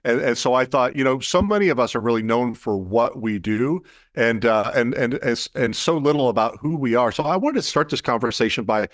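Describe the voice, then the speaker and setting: Male interview host, mid-pitched, unhurried, winding questions, dry sound. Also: expressive, no room sound. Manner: thoughtful, long winding questions. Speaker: podcast host.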